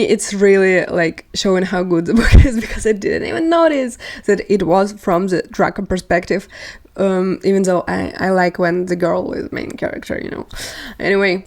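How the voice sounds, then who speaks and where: A woman's voice: mid-range voice, a little mellow. A woman whose first language is not English, chatting casually about books on her vlog, rambling and laughing often. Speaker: book vlogger. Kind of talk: casual chat.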